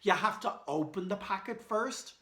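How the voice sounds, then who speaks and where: Man reading as an eager Irish schoolboy, raised bright voice. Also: high voice, moderate pace, voice pushed up. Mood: eager, encouraging. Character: eager, kindly young schoolboy hero of a storybook. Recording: echoey room.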